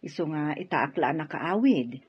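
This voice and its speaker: A woman's voice: low-pitched, expressive, measured pace, husky, full character voice. A woman playing an affectionate middle-aged mother in a radio drama, speaking in a chatty, motherly way. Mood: motherly, patient. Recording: dull, dry studio audio.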